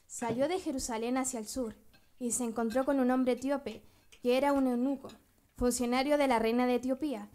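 A woman's voice: a little high, mellow, close to an everyday voice. A woman playing an earnest disciple sharing news in a radio drama.

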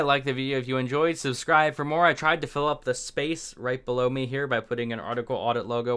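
Young male vlogger, off-the-cuff rambler, smooth clear tone, medium pitch, desk mic in small room. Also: fairly bright tone. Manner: rambling, talks on the fly with fillers and restarts. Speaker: political commentary vlogger. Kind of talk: unscripted casual commentary.

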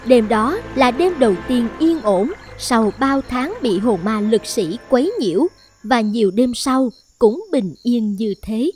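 Folktale narrator, woman, voice on the high side, scripted storytelling with music clearly underneath. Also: lively intonation, unhurried, a little mellow.

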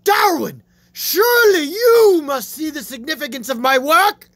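A man voicing a pompous, zealous mad Victorian scientist who rants and shouts at his critics, in an amateur dramatic reading. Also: very high voice, expressive, a little slow, dry recording, voice pushed up, full character voice. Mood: ranting.

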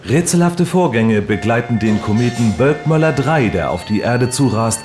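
An actor playing an earnest radio reporter, formal and florid, in a parody of live reportage. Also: male voice, mid-range voice, lively intonation, normal speed, music in the background, lightly acted. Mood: solemnly intrigued. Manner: formal, florid, straight-faced. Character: earnest, overblown male radio reporter on location.

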